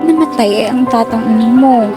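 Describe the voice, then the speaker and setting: A woman's voice: a little high, fairly quick, lightly acted. A woman acting a motherly aunt travelling home in a radio drama. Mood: reminiscing. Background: loud music.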